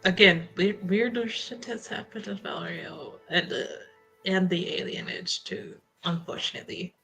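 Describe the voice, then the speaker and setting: A woman's voice: on the low side, moderate pace, vocal fry, pushed and bright, faint music behind, rough recording. A woman talking casually as a player in a tabletop roleplaying game.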